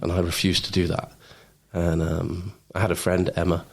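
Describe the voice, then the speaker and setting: A man's voice: low-pitched, level tone, normal speed, creaky. A man telling personal stories casually as a podcast guest, in an informal, blokey way. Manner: informal, blokey, says mate, man and bro. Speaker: podcast guest, former Royal Marine. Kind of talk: casual anecdotal storytelling.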